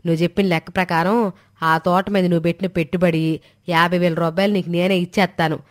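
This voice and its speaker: A woman's voice: on the low side, steady tone, quick pace, natural voice. A woman playing an older, cautious village mother-in-law in a storybook drama, with homely colloquial speech. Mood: doubtful, firm. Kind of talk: dramatized Telugu moral story dialogue.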